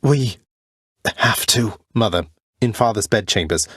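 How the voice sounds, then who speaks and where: A man playing an earnest, defiant young prince of the underworld in a video game, speaking in formal, old-fashioned wording with halting, heartfelt delivery. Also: fairly low pitch, expressive, fairly bright tone, husky, dry recording, clean studio sound, heavily acted. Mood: insistent, impassioned, then urgent, hopeful. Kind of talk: video game character dialogue, dramatic acting.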